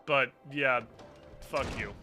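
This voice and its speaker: A man's voice: mid-range voice, slow, pushed and bright, faint music behind. A man streaming a game live, improvising commentary in a sarcastic, sweary way.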